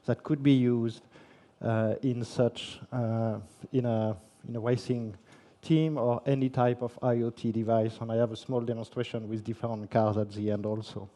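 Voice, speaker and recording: French man, conference speaker, mellow low-toned voice, halting delivery with fillers. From France. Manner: halting, many fillers and restarts. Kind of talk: technical conference presentation. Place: conference hall.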